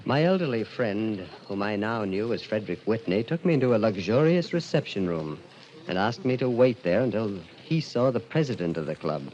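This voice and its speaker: A man's voice: fairly low pitch, very animated, normal speed, a little mellow, dull audio, slight background noise. An actor playing a brooding, despairing young man who narrates his own story in melodramatic old radio mystery style. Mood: intrigued, uneasy. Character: despairing young man, ill, broke and weary of life. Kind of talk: 1940s radio mystery drama acting, first-person narration. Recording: old radio drama recording with music.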